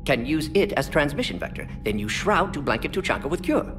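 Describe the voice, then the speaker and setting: Brisk clipped alien scientist. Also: male voice, a little high, sing-song. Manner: clipped, rapid, telegraphic phrasing. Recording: game audio with music bed.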